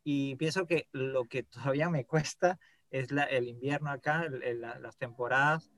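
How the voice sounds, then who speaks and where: A man's voice: mid-range voice, steady tone, moderate pace, a bit bright, no echo. A man from Venezuela with a Venezuelan accent, a guest on a podcast chatting casually and hesitantly, often repeating words. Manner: hesitant, many "este" fillers and repeats. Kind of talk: casual interview chat.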